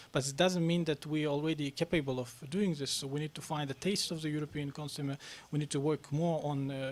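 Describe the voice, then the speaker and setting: A man answering questions on a press club panel, talking haltingly with many fillers and restarts. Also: a little high. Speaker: panel speaker on national reforms.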